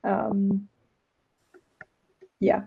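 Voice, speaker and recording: A woman's voice: mid-range voice, monotone, drawn-out words, vocal fry, breathy edge. A woman with a French Canadian accent, French her first language, joking and laughing easily while answering fans on a livestream. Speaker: game developer answering questions. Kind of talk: casual livestream Q&A chat. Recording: dull lo-fi stream audio.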